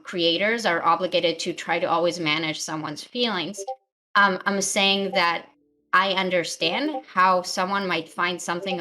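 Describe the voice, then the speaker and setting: A woman's voice: fairly low pitch, sharp tone. A young woman talking in a casual online panel, thoughtful and full of fillers. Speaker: panel guest.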